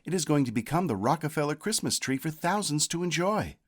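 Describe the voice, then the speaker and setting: A man voicing a resigned, gentle farmer father in a storybook, speaking matter-of-factly. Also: on the high side, expressive, a little fast, voice pushed up.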